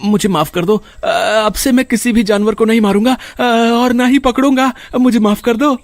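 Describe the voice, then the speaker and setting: Casting: man as craven cartoon villain's henchman, high-pitched, pleading and frightened. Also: steady tone, normal speed, dry recording. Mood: terrified. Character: cowardly male circus henchman begging for life. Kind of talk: dramatic animated story acting.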